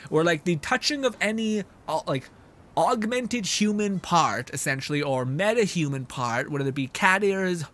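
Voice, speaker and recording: Higher-voiced young male game streamer. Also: animated, moderate pace, a bit bright. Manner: informal, sweary, joking. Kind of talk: casual live gameplay commentary, chat with viewers. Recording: music playing behind voice. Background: background music.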